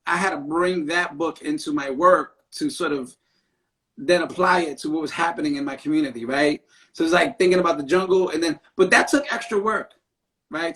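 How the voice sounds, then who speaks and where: A man hosting a casual live-stream talk, speaking in an animated, emphatic way and often ending thoughts with "right?". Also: fairly high pitch, rough recording. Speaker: podcast host; therapist.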